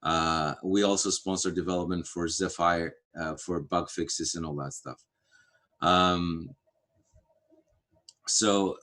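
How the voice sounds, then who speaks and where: A man from Greece, a Greek native speaker, talking casually on a video-call livestream, pausing often with fillers and restarts. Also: sits low, fairly bright tone. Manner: halting, many fillers and restarts. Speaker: framework core team member.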